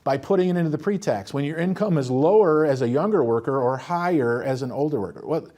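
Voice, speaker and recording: A man's voice: fairly high pitch, quick pace, mellow. A man, a financial advisor, explaining retirement tax planning steadily and walking through number examples.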